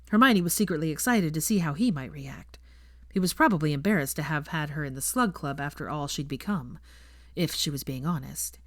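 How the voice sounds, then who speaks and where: Woman, audiobook narrator, lower pitch, scripted fiction reading, very little room echo. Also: clean studio sound.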